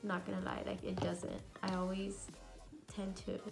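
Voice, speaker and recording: A young woman with a raspy, congested voice from a cold, chatting bubbly through a makeup tutorial at home. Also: mid-range voice, monotone, unhurried, over music. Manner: chatty and bubbly, many fillers. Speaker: beauty vlogger. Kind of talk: casual makeup tutorial chat.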